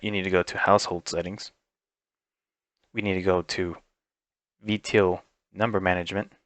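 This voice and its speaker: A man giving an informal, unscripted step-by-step software walkthrough as a tech instructor, explaining each setting as he goes. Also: low-pitched, no echo.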